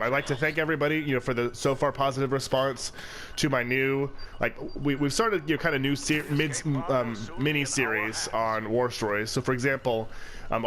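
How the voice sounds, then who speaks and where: A man's voice: medium pitch, noisy background. A man hosting a let's play channel, talking through gameplay in a loose, rambling way with lots of fillers and restarts, his voice congested from a cold. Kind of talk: casual gameplay commentary.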